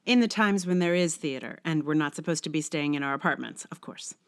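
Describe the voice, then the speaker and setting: A woman's voice: low-pitched, expressive, fairly quick, smooth. A middle-aged woman hosting a solo podcast, musing in a wry, self-questioning way. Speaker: podcast host. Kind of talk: scripted essay reading, then casual rambling solo podcast talk.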